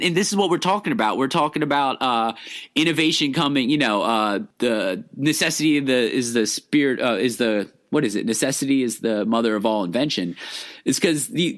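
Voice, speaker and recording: An American man co-hosting a casual film history discussion, explaining things in a halting, rambling way with many false starts. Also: medium pitch, a bit bright. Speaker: podcast co-host.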